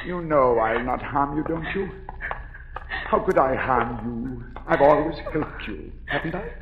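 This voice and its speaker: A man's voice: medium pitch, a little slow, highs cut off, natural voice. An actor playing an obsessed masked madman in a 1940s radio drama, coaxing and pleading with feverish intensity. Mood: possessive. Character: obsessed, masked madman luring a young woman underground. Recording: noisy old 1940s radio broadcast.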